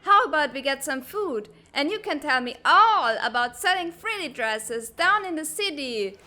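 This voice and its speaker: Woman doing bossy hot-tempered teen girl for a comic dub, high sharp voice, all bluster. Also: lively intonation, normal speed. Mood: flustered. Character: brash, hot-tempered teenage girl cadet. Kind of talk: animated comic-dub voice acting.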